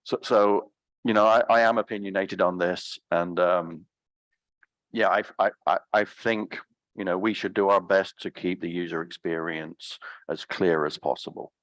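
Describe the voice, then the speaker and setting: Deep-voiced guy on a conference panel, halting, repeats himself a lot, dry close sound. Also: fairly even intonation, a bit bright, dry recording. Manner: halting, repeats words while thinking. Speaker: panel discussant.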